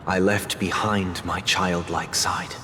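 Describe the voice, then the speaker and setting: A composed, priestly young leader from a video game. His lowish voice moves slowly and formally, ringing through a long reverb over a faint rumble. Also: level tone. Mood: solemn, calm. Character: composed, solemn young male religious leader hearing confessions. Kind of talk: formal scripted game dialogue.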